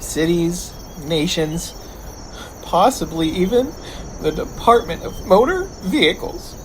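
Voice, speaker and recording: Actress as gloomy female crew member, unhurried, low, expressive, radio play, clear background noise. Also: rough recording. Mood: ominous. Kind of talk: sci-fi sitcom audio drama acting.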